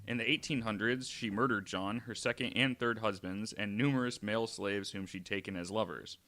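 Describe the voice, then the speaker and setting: A man's voice: on the low side, normal speed, sharp tone. A man co-hosting a podcast, talking informally and wryly between casual chat and reading out stories. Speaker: podcast co-host.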